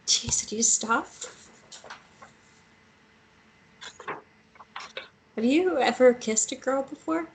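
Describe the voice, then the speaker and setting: A woman's voice: high-pitched, moderate pace, rough recording. Casting: actress as young female romantic lead, playful and forward, natural table-read delivery, noticeable background noise. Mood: teasing, tender.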